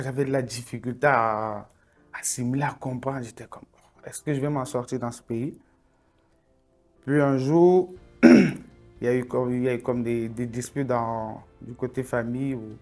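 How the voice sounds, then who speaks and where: A young man tells his story in an earnest, reflective way. His voice sits at a middling pitch and often sinks to a quiet, inward tone. Soft music plays faintly behind him. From Cameroon. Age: 20s. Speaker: testimony speaker. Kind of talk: personal faith testimony.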